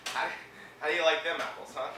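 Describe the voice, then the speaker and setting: An actor playing a bitter, sarcastic hospital patient, a paralysed sculptor, middle-aged. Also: male voice, a little high, fairly even intonation, quick pace, noisy background, close to an everyday voice. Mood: bitter, angry. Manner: sarcastic, biting. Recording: roomy film sound from a distance.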